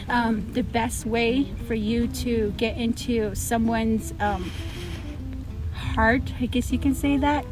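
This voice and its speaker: A woman with a fairly high voice speaks casually, as a teacher answering interview questions. She explains her points through examples. Music plays clearly behind her. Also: poor audio.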